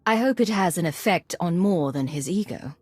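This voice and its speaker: A woman's voice: on the low side, expressive, moderate pace, a little mellow, dry recording. A woman voicing a serious commander in a video game, terse, skeptical and no-nonsense. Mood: questioning, firm. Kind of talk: video game cutscene acting.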